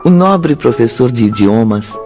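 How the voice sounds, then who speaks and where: A man's voice: medium pitch, expressive, a little slow. A man reading scripted narration for a radio drama. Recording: muffled audio with music bed.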